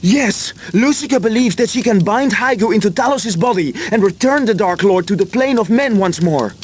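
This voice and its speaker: A guilt-ridden inventor in a video game story, pitched noticeably high for a male. He speaks earnestly and apologetically, owning up to betrayal. Faint ambient noise sits behind him. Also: lively intonation, moderate pace. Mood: grave, urgent. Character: remorseful male inventor who betrayed his friends. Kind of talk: video game cutscene acting.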